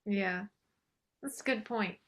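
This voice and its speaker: A woman's voice: mid-range voice, slow pace, fairly bright tone. A woman, a singer-songwriter guest, chatting casually in an interview.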